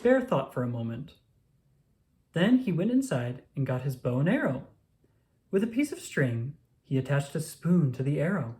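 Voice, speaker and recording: Animated children's storybook narrator. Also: medium pitch, moderate pace.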